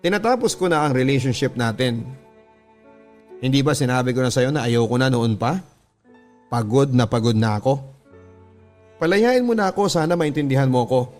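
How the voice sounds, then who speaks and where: A man's voice: mid-range voice, animated, normal speed, pauses often, a little mellow, close to an everyday voice. A man reads the part of a worn-out Filipina woman in a radio drama. The delivery is weary but firm, imploring to be let go, with faint music underneath. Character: weary young woman firmly ending a relationship. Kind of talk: radio drama character dialogue.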